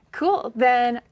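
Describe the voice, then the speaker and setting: A woman's voice: a little high, highs cut off. An American woman hosting a showcase, talking casually and informally in a chatty way. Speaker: host.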